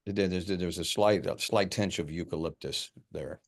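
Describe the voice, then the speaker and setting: A man playing a deep-voiced, casual detective. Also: moderate pace, creaky. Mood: pensive, probing. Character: male police detective, casual, blunt, perceptive. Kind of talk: actor's table read of an indie horror film.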